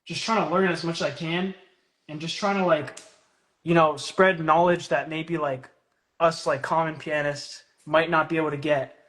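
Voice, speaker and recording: A man's voice: a little high, fairly even intonation, normal speed, fairly bright tone. A young man hosting a casual phone-streamed interview, hesitant and full of fillers. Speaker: interviewer host. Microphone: phone on a live stream.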